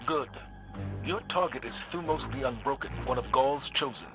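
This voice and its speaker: An actor playing a stern male military commander in a video game, giving measured, authoritative orders. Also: medium pitch, natural voice. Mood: commanding. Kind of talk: video game cutscene acting. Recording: loud game music behind.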